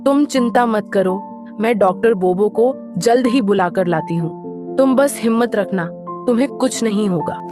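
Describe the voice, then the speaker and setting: A woman voicing a young, kind-hearted mermaid in a cartoon story, earnest and expressive. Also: medium pitch, normal speed, lightly acted. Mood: concerned, caring. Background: music.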